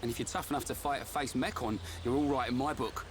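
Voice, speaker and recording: An actor playing a brash, loyal young fighter in a video game, blunt and cocky. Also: male voice, medium pitch, steady tone, quick pace, slight background noise, lightly acted. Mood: hearty.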